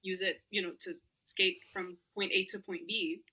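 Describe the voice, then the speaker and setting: A young woman with a bright, clear voice. Her is Arabic, but she talks easily in English. She is chatty and laughs often as a podcast guest. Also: mid-range voice, flat delivery, normal speed. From Lebanon. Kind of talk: casual interview chat.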